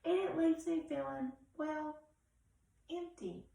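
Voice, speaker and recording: In a very echoey room, a forlorn crayon played by a woman speaks plaintively. The storybook voice is high and a bit creaky, feeling empty and unseen. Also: lively intonation, slow pace, mellow, heavily acted. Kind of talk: storybook read-aloud. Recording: very echoey room, distant from mic.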